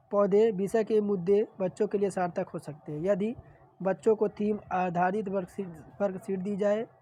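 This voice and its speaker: A woman's voice: mid-range voice, warm tone, dry recording. A woman teacher with a sore throat, steadily reading out exam questions and explaining the answers. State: sore throat, clearing throat often. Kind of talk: reading and solving practice questions.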